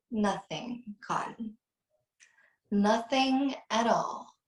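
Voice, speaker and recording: A woman playing a flirtatious, guarded housewife in a slasher drama, with a slow, teasing manner. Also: on the high side. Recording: roomy, echoey video call.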